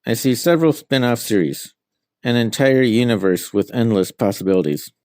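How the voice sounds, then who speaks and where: A man's voice: medium pitch, animated, normal speed, a little mellow, dry recording. A middle-aged man being interviewed as an author, giving answers about their own work.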